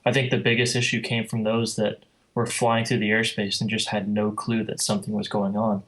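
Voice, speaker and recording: A man's voice: fairly low pitch, flat delivery, fairly quick, a bit bright, poor audio. A man speaking as a podcast guest, explaining things in a conversational way. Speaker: guest, air traffic controller and flight sim enthusiast. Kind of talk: podcast interview, explanatory talk.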